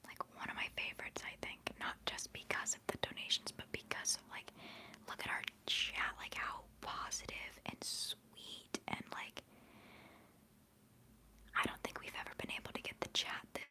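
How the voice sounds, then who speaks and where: Young woman whispering softly to her chat. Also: normal speed. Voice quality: soft whispering voice. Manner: reads and answers chat messages, rambling and fond; holding back tears. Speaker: livestream host. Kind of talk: whispered ASMR livestream chat.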